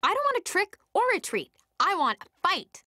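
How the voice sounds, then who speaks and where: A woman's voice: extremely high pitch, wildly swooping pitch, moderate pace, bright, forceful tone, no echo, studio quality, heavily acted. An actress playing a feisty, combative girl in a video game, bold and emphatic. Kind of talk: scripted video game character voice lines.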